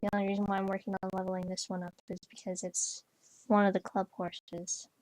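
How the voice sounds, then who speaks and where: A woman's voice: mid-range voice, flat delivery, fairly quick, darkish tone, slight background noise, low-quality recording. A young woman chatting casually while playing a horse game, rambling with lots of fillers and restarts. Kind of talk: casual gameplay chat. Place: bedroom, on a bed.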